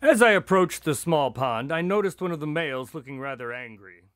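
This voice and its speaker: Exasperated male sidekick, radio drama storyteller, lightly high pitch, very animated, dry delivery. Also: brisk, slight background noise. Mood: alarmed, scolding.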